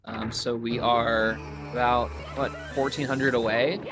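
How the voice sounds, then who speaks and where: A man's voice: mid-range voice, little pitch movement, measured pace. An upbeat, easygoing man commentating a live speedrun stream.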